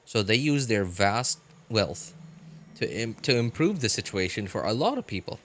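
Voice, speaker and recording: Low-voiced man, halting and rambling. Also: very animated, fairly bright tone, a little hiss or hum. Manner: halting, rambling, repeats phrases. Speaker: livestream host. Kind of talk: casual unscripted chat with stream viewers.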